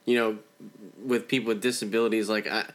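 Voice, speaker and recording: A man's voice: lowish voice, level tone, fairly bright tone. A man co-hosting a podcast in casual chat, easygoing and agreeable, often restarting his sentences. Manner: easygoing, halting, full of restarts and quick agreement. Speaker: podcast co-host.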